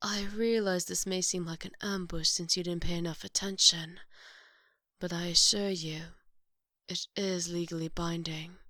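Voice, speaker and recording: Woman as a formal maid for asmr roleplay, lowish voice, slow and measured, wry, super dry sound. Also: fairly even intonation, a bit bright, no room sound, natural voice. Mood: dry, matter-of-fact. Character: composed, formal, gently teasing maid to a vampire.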